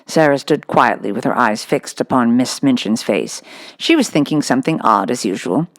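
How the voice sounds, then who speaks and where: A woman's voice: very deep voice, animated, moderate pace, dry recording, clean studio sound. A woman reading a children's novel aloud as scripted storybook narration. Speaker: audiobook reader.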